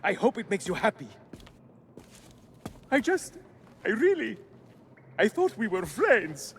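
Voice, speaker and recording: An actor playing an excitable, adventure-hungry freed captive in a video game, melodramatic and easily exasperated. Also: male voice, high voice, slow, slight background noise, full character voice. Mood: exasperated, hurt. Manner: melodramatic, exclamatory. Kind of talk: video game character acting.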